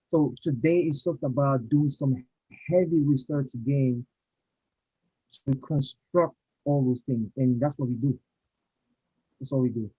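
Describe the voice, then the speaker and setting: A man hosting a casual online chat, talking in an animated, insistent way. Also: medium pitch, a little slow, long pauses, slightly rough, no echo. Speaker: host. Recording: dull lo-fi sound.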